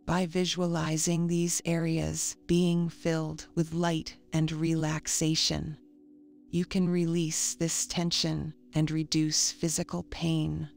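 A woman reading a scripted guided meditation in a calm, soothing, measured manner. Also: low voice, creaky. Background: faint music.

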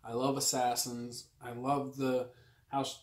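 A man's voice: mid-range voice, monotone, unhurried. A young man chatting casually about books as a co-host of a home video. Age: young adult. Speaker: book vlogger co-host. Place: small room at home with bookshelves. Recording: roomy echo from camera distance.

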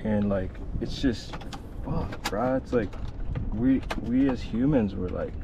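A young man vlogging from a small dinghy at sea, talking in a relaxed, slangy, rambling way full of fillers, picked up by an action camera on the boat. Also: on the low side, takes it slowly. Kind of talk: casual vlog chat. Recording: loud motor and wind noise. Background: boat motor and water.

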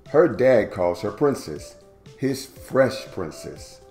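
Deep, animated man reading a picture book. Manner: animated, playful, stretches words for young listeners. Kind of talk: children's read-aloud. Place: indoor room at home.

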